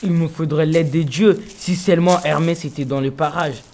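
Teen boy as Greek hero, slightly high voice, resolute, colloquial, modern slang, amateur audio drama. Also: fairly even intonation, moderate pace, darkish tone, a little hiss or hum, close to an everyday voice. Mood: determined. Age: teenager. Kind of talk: school-made audio drama.

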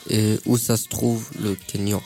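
A male interviewer with a slightly low voice puts short, direct questions to a guest. Music plays clearly behind him throughout. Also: flat delivery, a little slow, warm tone.

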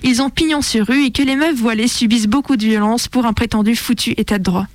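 A woman's voice: fairly high pitch, fast, noisy background. A woman co-hosting a radio show in an informal, colloquial style. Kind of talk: casual radio presenting. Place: dry radio studio.

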